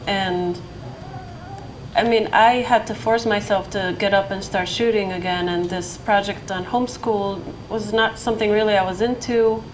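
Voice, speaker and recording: A woman's voice: medium pitch, fairly even intonation, moderate pace. Hesitant woman panelist, noisy room. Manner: hesitant, full of restarts. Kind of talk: panel discussion. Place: lecture hall.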